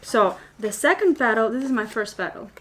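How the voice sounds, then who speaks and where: A woman's voice: a little high, expressive, normal speed. Chatty albanian-born woman doing a live craft tutorial, lots of ums, a bit of background hiss. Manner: chatty, switches between languages, fillers and restarts. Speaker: paper flower crafter and tutor. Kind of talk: live-stream craft tutorial, reading comments.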